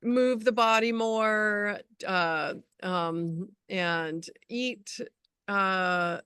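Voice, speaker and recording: A woman's voice: mid-range voice, extremely slow, a bit bright, smooth, dry recording, clean studio sound. A middle-aged woman co-hosting a spiritual talk show in a casual, effusive, agreeable chatting style. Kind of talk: casual chat.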